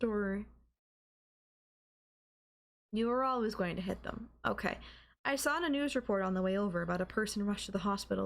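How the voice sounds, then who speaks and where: A woman playing a doting, obsessive and calmly manipulative girlfriend in an intimate ASMR audio roleplay, coaxing and softly teasing. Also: mid-range voice, vocal fry. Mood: calm, probing. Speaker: voice actor.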